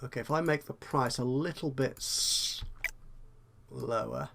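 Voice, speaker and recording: A man with a slightly croaky voice, chatting and thinking aloud while commentating on a management game. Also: medium pitch, a little slow, a bit bright, slight background noise. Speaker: let's play host. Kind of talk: casual gameplay commentary.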